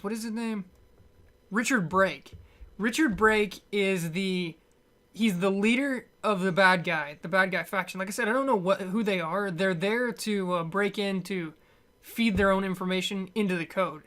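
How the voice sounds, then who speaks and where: Halting, sardonic movie reviewer. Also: medium pitch, fairly bright tone. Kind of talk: casual unscripted movie review rant.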